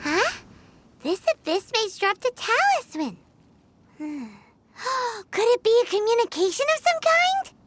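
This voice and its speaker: A tiny floating fairy girl with a very high, squeaky voice that leaps up and down all over the place. She is chatty and excitable, calls herself by name in the third person, and drags her words out slowly. Game music plays behind her. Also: background noise, heavily acted. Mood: puzzled. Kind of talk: video game cutscene voice acting. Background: game soundtrack music.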